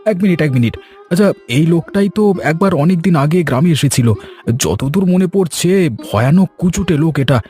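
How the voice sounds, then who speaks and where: Man voicing an excitable, suspicious cartoon orchard keeper, shift+. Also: a little high, normal speed, soft background music, lightly acted. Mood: alarmed, suspicious. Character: anxious, excitable male mango orchard keeper, suspicious and scheming. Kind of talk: Bengali cartoon dialogue acting.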